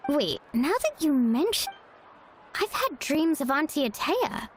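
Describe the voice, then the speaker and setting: Sincere, higher-voiced young woman. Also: sing-song, unhurried, a little hiss or hum. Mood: tender, reflective. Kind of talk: video game voice acting.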